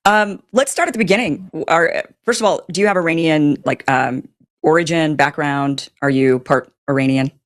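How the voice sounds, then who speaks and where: A woman's voice: low voice, normal speed. A woman hosting an interview, asking questions in a casual, conversational style. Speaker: interviewer, host.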